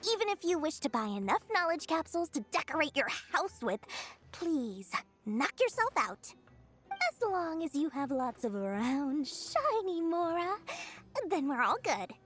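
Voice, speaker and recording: Sly game merchant woman, high voice, lively swooping delivery, greedy, playful, wheedling. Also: exaggerated voice. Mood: boastful, wheedling. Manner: theatrical sales patter, wheedling, drawn-out words. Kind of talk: animated video game dialogue.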